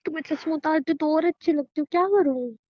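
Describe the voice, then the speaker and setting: Lo-fi dull audio, young adult woman, highish voice, coy, hesitant, casual couple chat. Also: fast. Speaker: girlfriend in a couple's conversation. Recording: dull, lo-fi call-style recording.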